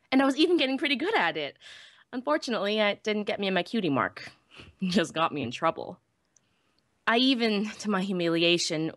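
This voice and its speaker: A woman's voice: mid-range voice, a bit bright, no room sound, lightly acted. Dry-sounding, chatty young unicorn mare read by a woman. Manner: conversational, wry, explaining. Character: chatty, self-deprecating young female unicorn pony. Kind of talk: first-person audiobook reading.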